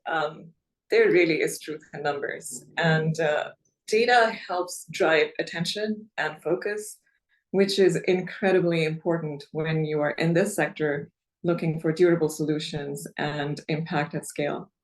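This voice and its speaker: A woman from Pakistan hosting a webinar panel over a video call, introducing speakers in an organized, clear way. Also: low voice, unhurried, poor audio. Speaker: webinar host and chief program officer. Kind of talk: moderating an online panel.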